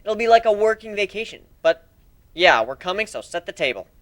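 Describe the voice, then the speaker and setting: A man's voice: high-pitched, sing-song, a little fast, a bit bright, pitched above the performer's own voice. A man voicing a brash, cheeky griffin pirate leader in a storybook reading, conversational and exclamatory. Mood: cheeky, upbeat.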